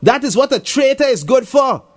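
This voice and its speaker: A man's voice: very high-pitched, big pitch swings, moderate pace, faint music behind, pitched above the performer's own voice, full character voice. A man voicing a mocking, boastful young boy, in a sing-song taunting manner.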